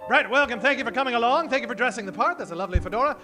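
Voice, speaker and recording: A man's voice: very high voice, expressive, rapid-fire, sharp tone, soft background music. A man hosting a live comedy show from a theater stage, talking like a theatrical old-time 1940s radio emcee and projecting to the audience.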